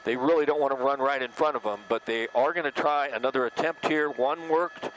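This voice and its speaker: An American man reports live from the air with clear vehicle noise around him. His mid-range voice gives conversational breaking-news commentary. He explains what is unfolding step by step, like a working field reporter. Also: mid-range voice, fairly quick, a bit bright, muffled recording. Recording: noisy outdoor vehicle feed.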